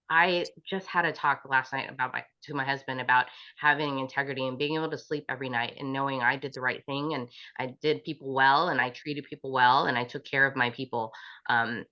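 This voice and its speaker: A woman speaking as a panel guest, gushing and quick, full of "like" and restarts. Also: rumbling low. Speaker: panel guest, business owner. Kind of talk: casual interview talk. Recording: dull lo-fi recording.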